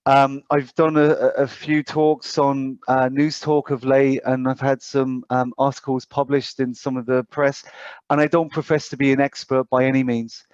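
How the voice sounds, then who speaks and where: A man's voice: medium pitch, flat delivery, normal speed, smooth, no echo. Dull-sounding British man presenting. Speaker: financial advisor presenting. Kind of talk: informal client presentation on a group call. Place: online group call. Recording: dull, muffled online call audio.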